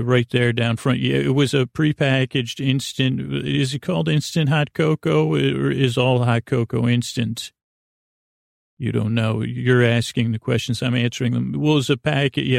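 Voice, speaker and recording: A man hosting a podcast with a soothing, creaky voice, rambling in a halting, silly, whimsical way as if for bedtime. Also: mid-range voice, fairly even intonation, dry recording, clean studio sound. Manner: meandering, halting, silly and goofy. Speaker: podcast host. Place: podcast studio.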